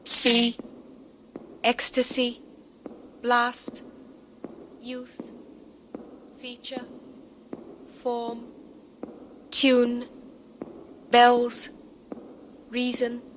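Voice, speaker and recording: A woman's voice: on the high side, completely flat delivery, extremely slow, pauses often, a bit bright, a little hiss or hum, rough recording. An actress playing a detached woman in an experimental radio drama, reciting Shakespearean verse in fragments, mechanically. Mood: detached, fragmented.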